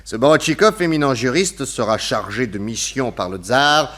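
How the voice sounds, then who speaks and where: An actor playing a shrewd, self-assured detective in a French radio drama, explaining things with theatrical assurance. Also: male voice, medium pitch, lively intonation, moderate pace, fairly bright tone. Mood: confident, amused. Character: shrewd, self-assured male detective planning his disguise.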